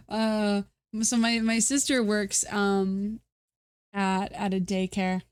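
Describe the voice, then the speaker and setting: A woman's voice: medium pitch, level tone, very slow, a little mellow, clear, resonant tone, dry recording, clean studio sound. Rambling, stuffy-nosed woman streamer. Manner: rambling, self-mocking, many fillers and restarts. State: stuffy nose. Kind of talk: casual live-stream chat.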